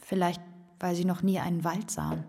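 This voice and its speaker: A woman's voice: lowish voice, flat delivery, brisk, warm tone, soft background music, lightly acted. An actress playing a poor, hungry woman, desperate yet pitying, in a radio drama. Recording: long hall reverb.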